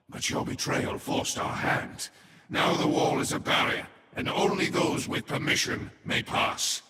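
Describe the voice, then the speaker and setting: A man voicing a haughty, ominous serpent-folk overlord in a fantasy game, speaking in solemn, formal, imperious pronouncements with a breathy, whispery tone. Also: bright, forceful tone. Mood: stern, forbidding. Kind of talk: scripted fantasy game lore narration. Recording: echoey hall reverb with ambient noise.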